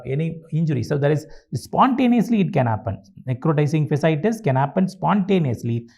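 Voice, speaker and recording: A man with an Indian accent and a fairly high voice teaches as a lecturer. He is didactic, posing questions, answering them himself and repeating the key phrases for his students. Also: a little mellow. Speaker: medical lecturer teaching exam review. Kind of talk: exam-review lecture.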